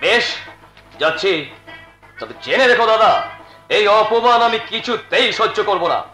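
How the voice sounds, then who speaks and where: A high-pitched, spiteful younger brother. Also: male voice, sing-song, normal speed, sharp tone, full character voice. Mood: vengeful. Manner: declamatory, scornful, emphatic. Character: arrogant, spiteful younger brother, a scheming troublemaker. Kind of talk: melodramatic Bengali folk theatre acting. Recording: echoey stage sound, faint music.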